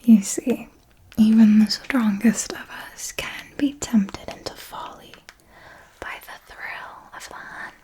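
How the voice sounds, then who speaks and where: A woman voicing a seductive, menacing elder vampire mentor in a breathy, deliberate ASMR whisper, with possessive, condescending affection. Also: on the high side, steady tone, dark tone, full character voice. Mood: confiding.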